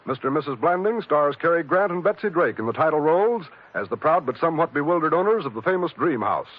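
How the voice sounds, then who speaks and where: A man's voice: fairly high pitch, big pitch swings, fast. An American man announcing in scripted 1950s network radio style. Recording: dull old radio broadcast recording.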